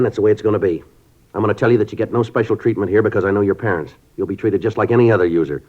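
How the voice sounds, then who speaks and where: An actor playing a stern middle-aged police sergeant who narrates in a clipped, matter-of-fact way. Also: male voice, sits low. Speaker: detective narrator. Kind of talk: 1950s radio acting. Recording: dull old radio recording with music.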